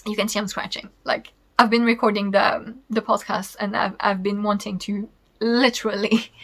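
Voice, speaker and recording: A woman's voice: mid-range voice, fairly bright tone, slightly rough. A woman in her thirties with a French accent, a native French speaker, hosting a knitting podcast in a chatty, rambling way and laughing easily. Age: 30s. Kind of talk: casual chat to camera.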